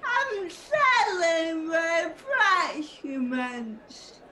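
A young woman playing a brash, greedy pantomime ice-cream usherette, blunt and shouty. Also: very high-pitched, very animated, extremely slow, exaggerated voice. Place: living room at home. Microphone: video call.

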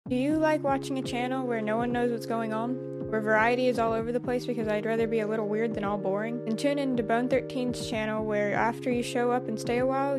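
A woman plays a perky announcer in a joke radio advert. She talks fast in a fairly high voice over loud music. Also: close to an everyday voice. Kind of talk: tongue-in-cheek radio advert.

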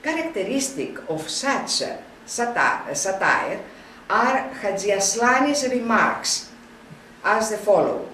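Older woman reading a lecture slowly, roomy. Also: mid-range voice, sing-song, fairly bright tone, a little hiss or hum. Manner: slow, halting reading with frequent restarts. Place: wood-panelled room, indoors. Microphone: camera at a distance. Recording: roomy, distant camera sound.

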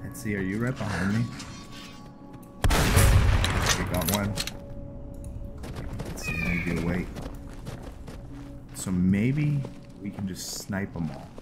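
A man giving casual, low-key video game commentary, thinking aloud as he plays, with music behind him. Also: lively intonation, takes it slowly, pauses often. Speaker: gameplay host.

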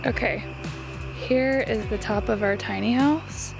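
A young adult woman with a slightly high voice talks casually, like a travel vlogger filming on the go. Loud music plays along with her speech. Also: measured pace, gravelly, poor audio. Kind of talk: casual travel vlog chat. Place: varies: moving car, small cabin, outdoors. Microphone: handheld camera.

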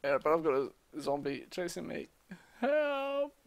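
A young man chatting casually while playing a game with a friend, reacting quickly. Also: fairly high pitch, very animated, vocal fry, no room sound, highs cut off. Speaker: gamer. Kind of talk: casual let's play chat.

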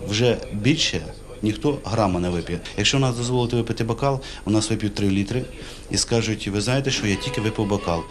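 A man's voice: on the low side, fast talker. A middle-aged man, a traffic police chief, explaining things informally. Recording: music behind the voice.

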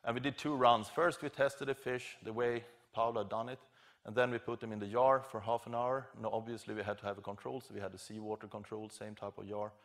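A middle-aged man giving an academic conference lecture, explaining informally and haltingly with self-corrections. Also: on the low side, level tone, fairly bright tone. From Scandinavia. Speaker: professor, keynote lecturer. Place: large lecture theatre stage at a podium.

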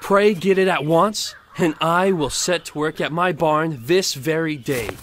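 An actor playing a pompous, self-righteous, grasping miller in an audio storybook drama, preachy in manner. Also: male voice, on the high side, a little slow, slight background noise, natural voice. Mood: smug, demanding. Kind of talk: audio theatre storybook acting.

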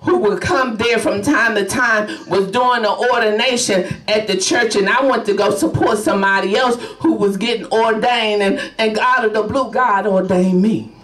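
A woman's voice: mid-range voice, moderate pace, hardly any pauses, fairly bright tone. Brief: woman evangelist, fervent sermon, heard from a distance in an echoey church. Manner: fervent preaching punctuated by hallelujahs. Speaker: evangelist preacher. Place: small church sanctuary, at a pulpit. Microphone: podium mic, filmed by a far camera. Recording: roomy church sound from a distance.

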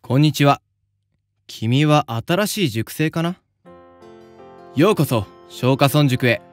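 Man acting a confident Choshu samurai senior, lightly high-voiced. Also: expressive, takes it slowly, faint music behind. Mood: affable. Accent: Yamaguchi (Choshu). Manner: old-fashioned, breezy authority. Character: confident, vain but kindly senior samurai student. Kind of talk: anime-style voice drama.

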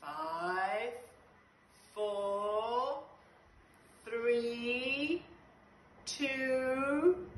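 A middle-aged woman reading a picture book to young children in an expressive, deliberate way with dramatic pauses. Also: fairly high pitch. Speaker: nursery school storyteller. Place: small nursery classroom, indoors. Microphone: camera a few metres away. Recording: roomy, distant, noisy phone-style video.

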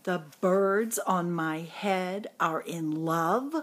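A woman's voice: fairly low pitch, very slow. A woman voicing a distressed, incredulous male elephant from a picture book, full of exclaiming questions. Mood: alarmed, incredulous.

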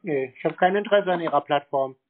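A man's voice: on the high side, fast talker. A man in a casual phone conversation, answering in a terse, unimpressed and contrary way. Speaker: scambaiter taking a sales call. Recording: narrowband phone sound.

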